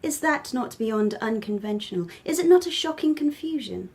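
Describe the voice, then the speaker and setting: High, breathy, imperious young empress. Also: female voice, animated, moderate pace, darkish tone. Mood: cold, accusing. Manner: haughty, clipped, royal formality. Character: tiny, imperious young empress, cold and cutting. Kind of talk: radio drama acting.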